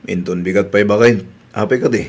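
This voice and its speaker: Low-voiced concerned husband played by a man. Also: a little fast, natural voice. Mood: questioning. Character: concerned working husband, questioning expenses. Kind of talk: Ilocano audio drama acting.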